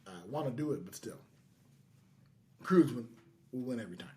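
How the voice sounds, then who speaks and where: A man's voice: medium pitch, lively intonation, moderate pace, warm tone, a little hiss or hum. An American man from California talking casually as a podcast host. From California, United States.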